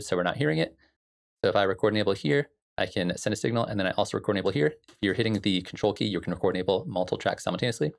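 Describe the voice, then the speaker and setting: Guy teaching music production stuff, kinda low voice w lots of fry, casual explainer tutorial style. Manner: informal, explanatory.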